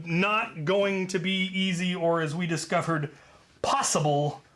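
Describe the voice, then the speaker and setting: A man telling a story in a casual, rambling way as host, leaning deliberately on his key points. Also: high-pitched, fairly bright tone.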